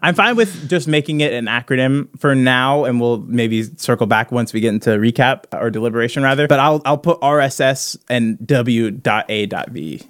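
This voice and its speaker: A man's voice: medium pitch, normal speed, hardly any pauses, dry recording. Male podcast co-host, mid-range voice, informal and conversational, dry sound. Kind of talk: casual brainstorming chat.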